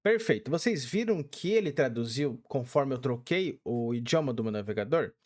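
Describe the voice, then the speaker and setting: A man's voice: medium pitch, animated, moderate pace, darkish tone. A young man with a Brazilian Portuguese accent, casually walking through a game tutorial step by step with many fillers. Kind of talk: casual screen-recorded tutorial.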